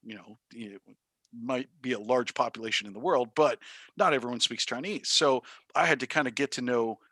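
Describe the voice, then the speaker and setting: A man in his thirties from Taiwan, a teacher answering interview questions in a thoughtful way, pausing often with ums and uhs. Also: mid-range voice, pushed and bright, no room sound. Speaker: high school math teacher, interview guest.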